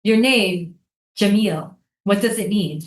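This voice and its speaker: A woman acts a troubled female soldier guarding prisoners in a stage drama. Her mid-pitched delivery is earnest and measured, with an undercurrent of defiance. She sounds off-mic in a reverberant room. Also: lightly acted. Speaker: actor in a staged reading. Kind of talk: theatrical staged reading. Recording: echoey stage, distant microphone.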